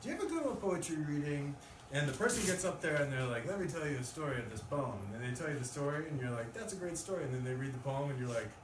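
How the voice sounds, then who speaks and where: A male poet speaks at a live reading in a room. His voice is picked up from a distance with plenty of echo. There is clear, loud noise over the recording. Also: fairly high pitch, expressive, fast talker, hardly any pauses. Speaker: poet reading own work. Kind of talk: live poetry reading with casual introduction. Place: live event in a room with an audience.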